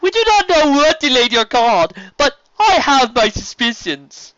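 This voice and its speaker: Loud, high, flamboyant sea serpent gentleman. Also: big pitch swings, no room sound, highs cut off, heavily acted. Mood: ominous, courtly. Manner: florid, drawn-out, theatrical delivery. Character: flamboyant, courtly male sea serpent, gallant and dramatic.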